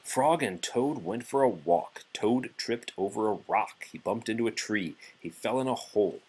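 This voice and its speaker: A man reading a children's storybook aloud as a father would, in an animated, playful and deliberate way. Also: lowish voice, slightly rough, low-quality recording.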